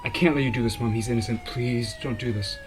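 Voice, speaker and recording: Man playing scared pleading teenage son, swooping pitch. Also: wildly swooping pitch, fast, slight background noise. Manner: hesitant, pleading. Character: frightened, pleading fourteen-year-old son. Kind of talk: dramatic radio play acting.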